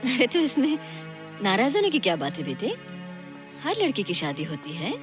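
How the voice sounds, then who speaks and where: A woman's voice: mid-range voice, very animated, moderate pace, over music, natural voice. An actress playing a protective, sorrowful middle-aged mother in a film drama. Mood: gently dismissive. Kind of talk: Bollywood film dialogue.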